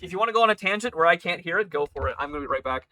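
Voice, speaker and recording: A man hosting a live stream, slightly hoarse, talking casually and thoughtfully with many fillers. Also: a little high, animated, racing, sharp tone. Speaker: stream host.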